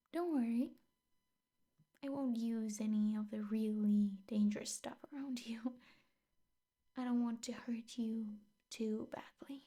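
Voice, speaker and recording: A woman playing a possessive, obsessive yandere teacher girlfriend in an ASMR roleplay, speaking softly and often whispering, coaxing and doting with menace underneath. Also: fairly high pitch. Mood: softly menacing. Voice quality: soft, breathy, often whispering. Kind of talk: ASMR roleplay, intimate scripted monologue.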